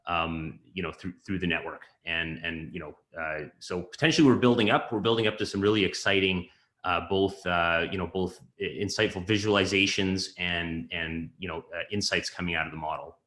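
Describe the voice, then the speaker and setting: A man explaining technical work as a presenter, haltingly, repeating words and breaking off phrases. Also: deep voice, fairly bright tone. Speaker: systems modeler presenting his work. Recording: roomy echo.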